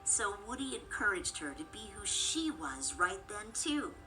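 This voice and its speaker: A woman's voice: mid-range voice, very animated, unhurried, low-quality recording. A woman reading a scripted children's story as the narrator, with music and sound effects behind the voice. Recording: loud background music bed.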